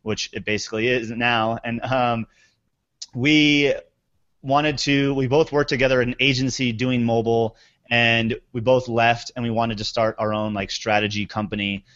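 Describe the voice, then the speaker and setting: A man's voice: mid-range voice, steady tone, moderate pace, a bit bright. Candid man on muffled audio. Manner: candid, reflective storytelling. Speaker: guest entrepreneur. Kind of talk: casual interview storytelling.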